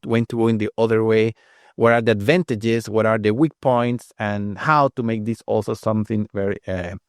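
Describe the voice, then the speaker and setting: A man hosting a podcast interview, speaking hesitantly with pauses and fillers. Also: mid-range voice, smooth, no echo, studio quality. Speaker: podcast host.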